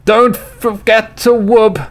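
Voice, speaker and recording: A man casually commentating on a game replay, slightly hoarse, rambling and joking with his viewers. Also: high voice, little pitch movement, takes it slowly. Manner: rambling, jokey, chats to viewers.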